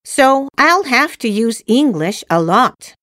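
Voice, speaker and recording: A woman plays a cheerful, eager girl student in a scripted English lesson dialogue. Her voice is high and she speaks slowly, with careful textbook enunciation. The sound is dry and close. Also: sing-song, fairly bright tone, no room sound, full character voice.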